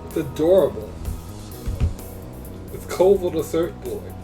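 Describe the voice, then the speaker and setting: A man's voice: a little high, animated, slow pace, over music. A man giving casual, gushing commentary over a game playthrough, his voice prone to leaping into squeals. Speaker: gameplay video host.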